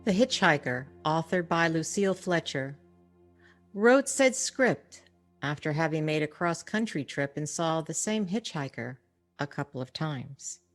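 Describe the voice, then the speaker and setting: A woman giving a scripted, dramatic introduction as a play's director, in a measured and solemn manner. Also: deep voice, animated, soft background music.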